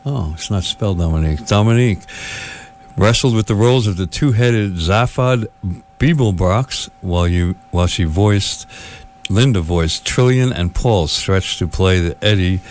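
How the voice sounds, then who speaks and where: A man announcing for a radio theater, reading comic closing credits in a deadpan, tongue-in-cheek way. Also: deep voice. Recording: faint noise and hum.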